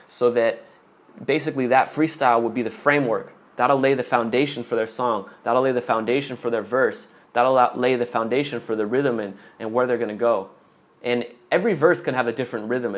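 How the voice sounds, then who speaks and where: A young man coaching rap on a live stream, answering viewer questions aloud in a casual way. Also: mid-range voice.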